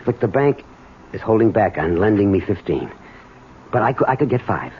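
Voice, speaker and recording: A man's voice: lowish voice, very animated, normal speed. An actor playing a harried, resentful grown son and businessman, stammering with urgency in a 1970s radio drama. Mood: desperate, pleading. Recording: dull, noisy old radio broadcast.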